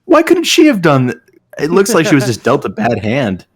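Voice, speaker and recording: A man's voice: on the high side, wildly swooping pitch, moderate pace, husky, no echo, studio quality. A man doing a casual read-aloud for friends, breaking off into joking, sarcastic asides. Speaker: host reading fan fiction aloud with friends.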